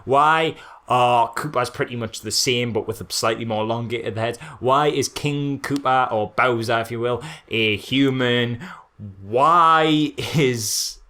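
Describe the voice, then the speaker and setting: A man's voice: mid-range voice, slow pace, sharp tone. A man co-hosting a podcast, critiquing a film in casual chat with an exasperated, sarcastic manner. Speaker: podcast co-host.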